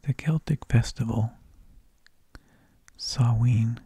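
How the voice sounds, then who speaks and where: Male ASMR host: whispered, husky and gravelly, unhurried halting monologue, very dry close sound. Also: medium pitch, mellow, no room sound. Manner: slow, halting delivery with long pauses. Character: mild, tedious energy vampire who lulls people to sleep.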